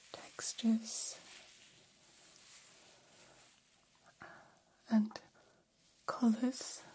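A woman voicing a gentle, formal alien caretaker in an ASMR roleplay, whispering softly with slow, deliberate phrasing and long pauses. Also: a little high, exaggerated voice. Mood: quietly fascinated. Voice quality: soft, breathy whisper. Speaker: ASMR performer. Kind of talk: ASMR roleplay, personal attention.

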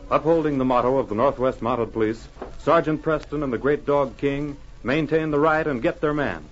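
A man's voice: medium pitch, normal speed, soft background music. A man announcing and narrating an old radio adventure in a formal, emphatic, dramatic style. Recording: muffled old radio recording.

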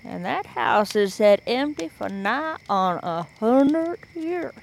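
A woman's voice: fairly high pitch, animated, slow, gravelly, a little hiss or hum, heavily acted. An actress playing an old woman guide in a radio drama, speaking in a folksy dialect with blunt, plain-spoken warnings. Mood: ominous. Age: older. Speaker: local guide.

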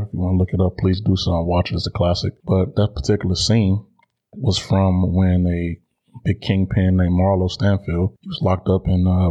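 A man in his thirties with an American accent talks as a solo podcast host. His voice is very low and husky, and he speaks conversationally to his listeners with little rise and fall. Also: dark tone, lo-fi sound. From Chicago, United States.

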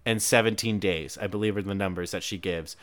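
A man hosting a podcast, recapping a movie plot with wry, deadpan jokes. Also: deep voice, brisk. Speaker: podcast host. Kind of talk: casual movie recap chat.

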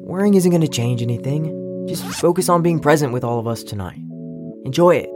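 A woman's voice: low-pitched, expressive, fairly quick, music in the background. A woman playing an easygoing, slangy young bandmate in an audio drama. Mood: easygoing, reassuring. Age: young adult. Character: laid-back, joking young bandmate, a slacker philosopher.

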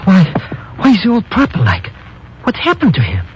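An actor playing a nervous, grumbling comic sidekick with an American accent in a 1950s radio drama. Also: male voice, high-pitched, huge pitch swings, moderate pace. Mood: grumbling, uneasy. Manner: nervous, slangy, complaining. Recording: muffled old radio recording, slight hiss.

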